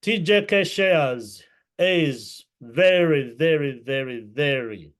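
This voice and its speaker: A man from Uganda hosting an interview podcast from a room at home, greeting his audience in an effusive, drawn-out way. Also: fairly high pitch, animated. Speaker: podcast host. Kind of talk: welcoming interview host. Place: home room with books and a clay pot.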